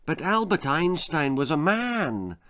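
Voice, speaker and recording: A man's voice: a little high, very animated, slow pace, a bit bright, voice pushed up, exaggerated voice. A man voicing a timid, anxious middle-aged mother in a children's story, meek and plaintive. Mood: timid protest. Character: timid, anxious forty-year-old mother.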